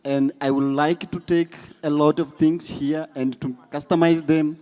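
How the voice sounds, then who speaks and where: A man's voice: fairly high pitch, little pitch movement, unhurried, mellow, soft background music. A man with a Tanzanian accent gives short public remarks as a youth delegate. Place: large indoor conference hall.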